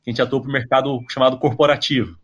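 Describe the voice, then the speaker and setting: A man's voice: medium pitch, level tone, fast, breathy edge. Man from Brazil, podcast guest, rambling, chatty, filler-heavy. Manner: rambling, many tag questions and fillers. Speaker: interview guest, tech company employee. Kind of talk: casual podcast interview.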